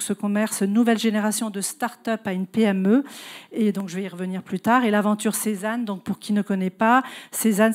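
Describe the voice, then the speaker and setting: A woman speaks as a guest presenter at a conference. Her pitch sits in the middle range. She moves forward haltingly, hesitating and restarting phrases as she explains. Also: a little mellow. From Italian-German, grew up in several countries. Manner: hesitant, halting delivery with frequent self-corrections. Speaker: guest speaker, retail consultant.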